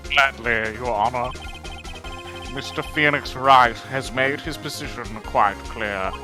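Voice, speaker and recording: A man's voice: mid-range voice, close to an everyday voice. A man voicing a smug, haughty prosecutor in a live game dub, speaking in a formal, condescending, theatrical way. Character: smug, haughty male prosecutor in a courtroom drama. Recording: loud music under the voice.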